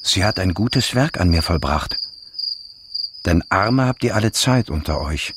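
An actor playing Jesus in a radio drama as a grave, solemn teacher speaking in measured scripture. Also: male voice, sits low, expressive, background noise. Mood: firm, grave.

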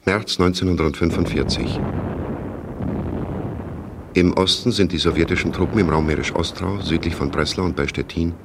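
A man's voice: deep voice, normal speed, creaky, long pauses. A man delivering sober, factual, documentary-style scripted narration in a radio play. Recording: noisy, war sounds behind.

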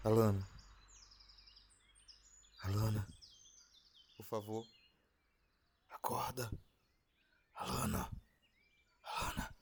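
A man's voice: fairly low pitch, steady tone, drawn-out words, pauses often, a little hiss or hum. A man with a Brazilian accent playing a weary, rattled man in a naturalistic horror audio drama. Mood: urgent, frightened.

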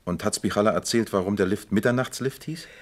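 An actor playing a shrewd, dryly amused police captain who probes with questions in a radio crime drama. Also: male voice, fairly low pitch, quick pace, close to an everyday voice. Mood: attentive.

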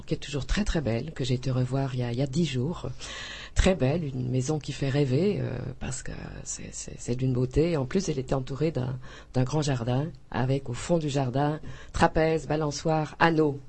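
A husky-voiced woman from Belgium describes her writing in a radio interview. She speaks with enthusiasm but stops and restarts often, and laughs easily. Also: a bass voice. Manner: warm, effusive, hesitant with many restarts, laughs often. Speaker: author.

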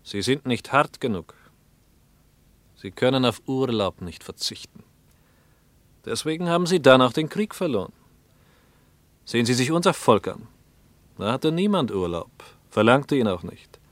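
Severe party functionary, radio drama, clipped, probing, strongly inflected, dry studio. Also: male voice, medium pitch, sing-song, a little slow, pauses often, no room sound. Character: stern, judgemental male Soviet party secretary, hard and proud. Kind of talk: radio play dialogue.

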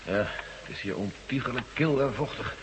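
An actor playing a gruff, pessimistic, hard-bitten fugitive in a Dutch radio drama. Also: male voice, medium pitch, normal speed, natural voice. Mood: grim. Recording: noisy old radio play recording.

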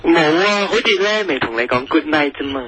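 A man's voice: a little high, expressive, normal speed, pushed and bright. A man playing a persistent, affectionate caller in a radio drama. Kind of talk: Cantonese radio drama acting.

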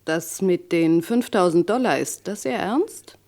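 An actress playing a wary, money-minded landlady in a German radio crime drama, indignant and deliberate in her delivery. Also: fairly low pitch, expressive, a little mellow. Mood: calculating. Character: defiant, wary, money-minded female boarding house landlady.

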